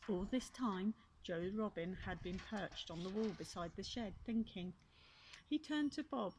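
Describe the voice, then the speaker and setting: An older woman reading a children's story aloud, expressively, with gently chatty asides to young listeners. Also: mid-range voice, unhurried. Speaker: grandmother reading a children's book. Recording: clear background noise and clicks.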